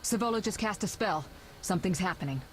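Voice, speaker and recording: A woman playing a headstrong, sharp-tongued young sorceress in a video game, assertive in manner. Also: on the low side, expressive, close to an everyday voice. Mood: alert. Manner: assertive, brisk retorts. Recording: game audio with faint background noise.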